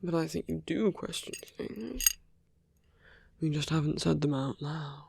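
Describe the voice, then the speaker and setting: A man playing a suave, persuasive villain in an ASMR roleplay, speaking in measured rhetorical questions and slipping into near-whispers. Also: fairly high pitch, vocal fry, a little mellow, slightly rough, no echo, heavily acted. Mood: coaxing, persuasive. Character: suave male villain, persuasive, teasing, self-justifying. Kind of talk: ASMR roleplay audio drama.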